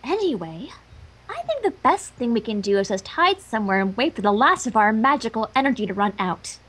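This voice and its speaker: A woman reading character lines aloud amid casual banter, lively and sometimes tripping over words. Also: a little high, a little fast, husky. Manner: animated, stumbles over words. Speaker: fan fiction co-reader.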